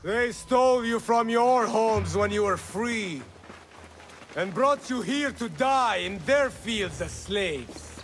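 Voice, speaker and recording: Rebel leader, high voice, slow measured delivery, fervent, video game drama, faint music. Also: male voice, lively intonation, a bit bright, a little hiss or hum, full character voice. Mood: fervent, defiant. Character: fervent male rebel leader rallying freed slaves.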